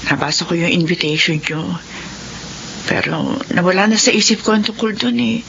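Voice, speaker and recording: A woman's voice: fairly low pitch, expressive, normal speed, vocal fry. A Filipino woman playing an emotional, worn-down middle-aged mother of a sick child in a radio drama. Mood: troubled, sad. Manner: emotional, plaintive. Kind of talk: classic Tagalog radio drama acting. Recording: dull, lo-fi, noisy radio drama recording.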